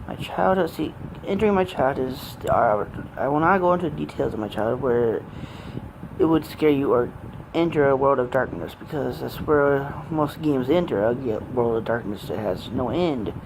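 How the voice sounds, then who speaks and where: A man in his late twenties talks through an unscripted personal monologue at a middling pitch. His phrasing is halting and meandering, often breaking off. The amateur recording carries clear noise behind the voice. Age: late 20s. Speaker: amateur gaming vlogger.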